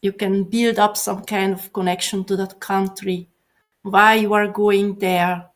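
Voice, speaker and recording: Slow-speaking woman. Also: mid-range voice, flat delivery. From Hungary. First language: Hungarian. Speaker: guest. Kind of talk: casual interview chat.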